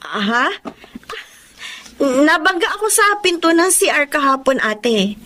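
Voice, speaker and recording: A woman's voice: high-pitched, very animated, a little slow, bright, forceful tone, heavily acted. An actress playing a worried young wife and mother, speaking in a pleading, anxious way. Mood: evasive. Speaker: radio drama actress. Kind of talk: radio drama acting.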